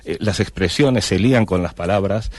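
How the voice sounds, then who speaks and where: A man's voice: low-pitched, moderate pace, a little mellow, no echo. A middle-aged man from Argentina, a cartoonist guest answering radio interview questions with many fillers and restarts.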